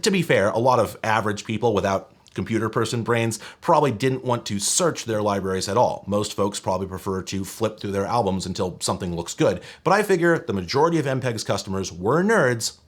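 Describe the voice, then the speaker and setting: A man's voice: fairly low pitch, lively intonation, brisk, hardly any pauses. A man presenting an explainer video in an emphatic, wry, opinionated way, as if talking a viewer through a gadget review. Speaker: presenter of a retro tech review channel. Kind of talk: semi-scripted explainer video narration.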